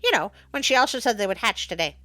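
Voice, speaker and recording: A woman's voice: mid-range voice, lively intonation, quick pace, pushed and bright, no room sound. A woman voicing a cheerful, feather-brained little male songbird in a storybook, exuberant and singsong. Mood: eager, chirpy.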